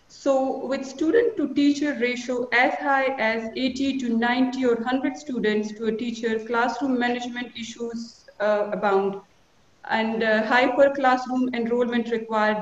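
A woman in her forties with a Pakistani accent, giving a measured conference talk full of figures from a small office, with fillers between numbers. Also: fairly high pitch. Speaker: conference panelist. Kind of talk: formal presentation with statistics. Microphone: video call webcam. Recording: echoey lo-fi video call.

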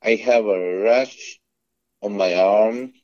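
A man with a Korean accent, a native Korean speaker, slowly repeating English phrasebook sentences on a phone call, with small slips. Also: on the low side, a little mellow. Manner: slow, careful repetition with small slips. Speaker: phone English practice partner. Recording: muffled phone line.

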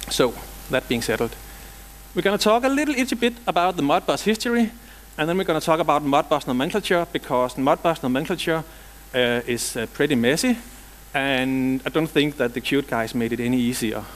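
A man from Denmark with a Danish accent presents at a software conference. His voice sits a little high, and he slips casual, dry jokes between the technical points. He is middle-aged and speaks on a lapel mic in a big hall. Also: expressive, brisk, slight background noise. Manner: dry joking, casual asides.

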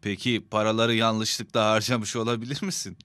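A crisp, bright voice of a middle-aged father played by a man in a children's cartoon, recorded dry. He is kind, patient and devout, guiding his child. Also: fairly low pitch, little pitch movement, normal speed, no room sound, natural voice. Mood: calm, concerned.

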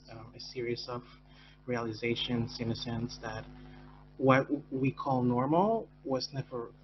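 A man poet whose first language is Indonesian, speaking thoughtfully and slowly on a video call. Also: medium pitch. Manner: thoughtful, slow, emphatic on key words. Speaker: poet on a panel. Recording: muffled video call with hum.

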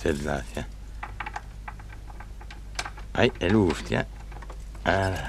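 An actor playing a ruthless male burglar and killer in an old radio drama, with a gravelly voice. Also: sits low, slow pace. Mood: furtive. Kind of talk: 1950s radio drama acting. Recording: noisy old radio recording.

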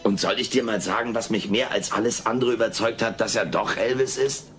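An actor playing a stubborn, cheeky alien in a dubbed sitcom audio play, theatrical and full of wisecracks. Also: male voice, on the low side, brisk, over loud music, close to an everyday voice. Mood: wisecracking. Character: cheeky, stubborn male alien, wisecracking pop-culture fan.

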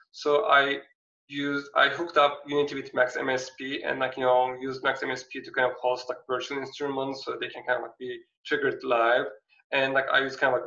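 A man in his thirties with a Turkish accent, giving a casual artist talk over a video call, halting and full of "like, you know", heard through a dull, distant laptop mic in a small room. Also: medium pitch, gravelly, bright, forceful tone, roomy sound. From Turkey. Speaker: visiting artist lecturer. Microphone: laptop webcam mic on video call.

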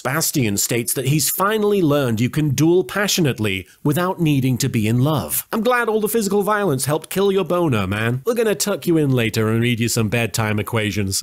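A man hosting an anime recap, narrating scripted humor in a sarcastic, mock-serious way. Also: medium pitch. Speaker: host of an anime recap series.

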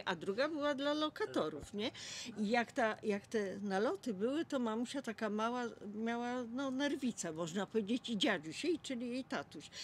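A woman's voice: a little high, a little hiss or hum. A woman in her sixties from Poland, casually sharing memories and often seeking agreement with little question tags. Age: 60s. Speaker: local resident sharing memories. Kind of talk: casual reminiscing.

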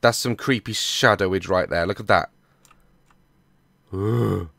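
A hoarse young man on a headset mic, nervously joking and swearing through a horror game as a gaming YouTuber. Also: low voice, measured pace, a bit bright, no echo. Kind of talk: horror game let's play commentary.

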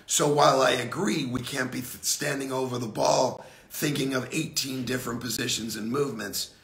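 A middle-aged man with a gravelly, raspy voice, a bit hoarse from a cold, giving a casual, blunt golf lesson on a livestream from an indoor simulator room. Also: mid-range voice, steady tone, a bit bright, reverberant. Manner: blunt, casual, drawn-out emphasis. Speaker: golf instructor.

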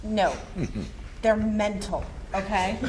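Woman reading brash tough teen girl, mid voice, noisy room. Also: medium pitch. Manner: blunt and brash. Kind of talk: table read.

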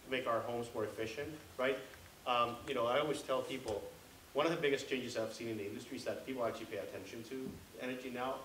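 Older guy rattling off answers fast, chatty, roomy library with a bit of hiss. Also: medium pitch, a bit bright. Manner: chatty, explains with everyday examples. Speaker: panelist. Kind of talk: answering audience questions. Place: large library room. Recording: echoey room from far off with hiss.

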